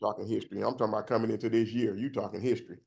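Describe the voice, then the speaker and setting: An older man with a gravelly, fry-filled voice, talking sports in a relaxed, slangy way. He defers politely and keeps things easygoing. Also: lowish voice, very fast. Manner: laid-back, agreeable, slangy. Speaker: co-host. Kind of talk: casual sports talk.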